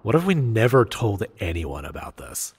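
A man's voice: fairly low pitch, big pitch swings, normal speed, creaky, breathy edge, full character voice. A man playing a playful, joking companion exploring a site. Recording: reverberant space with faint noise.

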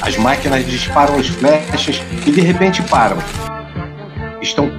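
A man's voice: on the high side, lively intonation, normal speed, over music. A man reading scripted narration for an audio drama as its narrator.

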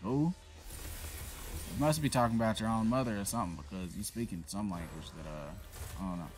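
A man's voice: fairly low pitch, brisk. A man giving casual gameplay commentary, talking to the enemies on screen and trash-talking them with plenty of swearing. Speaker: gaming channel host. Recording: voice over loud game music.

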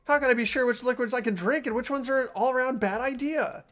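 A man's voice: extremely high pitch, quick pace, fairly bright tone, faint music behind, pitched above the performer's own voice. A man voicing an earnest, determined teenage boy in a visual novel game, with emphatic delivery.

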